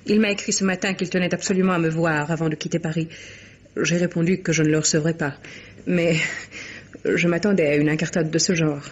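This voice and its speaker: An actress playing a haughty, sharp-tongued, romantic kept mistress in theatrical, ironic 1950s French radio drama style. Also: female voice, low voice, steady tone, brisk, close to an everyday voice. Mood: brisk. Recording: dull vintage radio recording.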